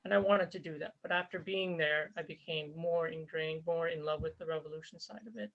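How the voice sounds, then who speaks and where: A woman's voice: low-pitched, fairly even intonation, brisk. Earnest woman on a dull recording. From born in Zambia, raised in Canada. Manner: earnest, conversational, with fillers and restarts. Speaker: interview guest, former volunteer fighter. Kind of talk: casual spoken interview.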